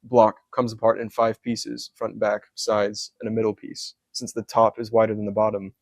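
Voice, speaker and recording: A young man from Scotland, a hat maker, answering interview questions in a soft-spoken way, explaining his craft in detail with frequent ums. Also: lowish voice, completely flat delivery, moderate pace, gravelly, no echo. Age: young adult. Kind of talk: casual interview.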